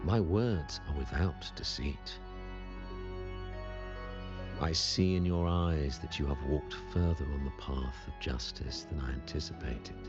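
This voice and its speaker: A solemn high priest from a fantasy video game, his voice profoundly deep. He speaks slowly and formally, with pious gravity and the air of a secretive zealot. Music plays behind the slightly dull recording. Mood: pious, confessional. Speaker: religious leader in a fantasy game. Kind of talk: scripted video game dialogue. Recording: muffled audio with music behind.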